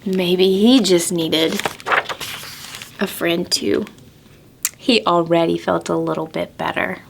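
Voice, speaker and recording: A woman presenting storytime to preschoolers, asking simple questions and stressing key words deliberately. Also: lowish voice, fairly even intonation, creaky, a little hiss or hum. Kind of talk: storybook reading and talking to young children.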